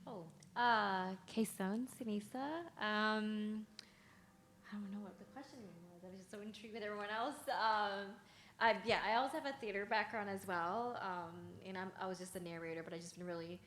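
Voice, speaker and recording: A woman's voice: medium pitch, fairly quick, fairly bright tone. A woman, the play's narrator, chatting in a bubbly way in a casual group discussion. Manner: bubbly, laughing easily. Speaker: narrator of the play. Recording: roomy echo, faint noise.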